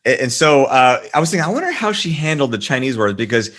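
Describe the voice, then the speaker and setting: A man hosting a casual interview, asking halting questions that repeat words. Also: medium pitch, very animated, a bit bright. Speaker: host.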